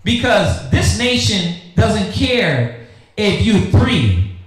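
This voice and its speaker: A man's voice: high voice, sing-song, very slow. An American man preaching and teaching scripture in a confrontational street-talking style, firing rhetorical questions at his listeners. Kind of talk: fiery teaching sermon with call and response. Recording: very echoey room, faint noise.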